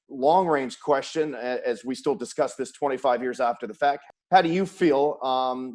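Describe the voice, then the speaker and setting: A man's voice: mid-range voice, a little mellow. A man hosting a conversational sports interview, an announcer putting questions to his guest. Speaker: host, sports play-by-play announcer.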